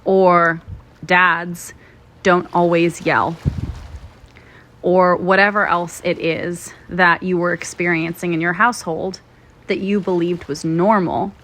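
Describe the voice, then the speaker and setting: A woman narrating a guided meditation in a gentle, soothing, measured way, pausing often between phrases. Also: fairly low pitch, level tone, slight background noise. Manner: gentle, soothing, measured delivery with frequent pauses.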